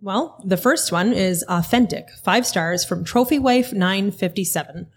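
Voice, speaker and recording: A woman's voice: medium pitch, unhurried, husky. A woman co-hosting a podcast, chatty and teasing, laughing easily. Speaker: podcast co-host. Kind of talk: casual chat.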